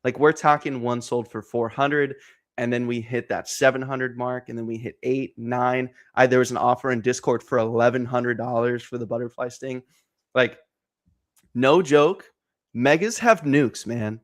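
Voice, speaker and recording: A man in his twenties, excitable and energetic, chatting casually about card breaks with lots of fillers. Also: mid-range voice, moderate pace. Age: 20s. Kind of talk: casual chat on a stream.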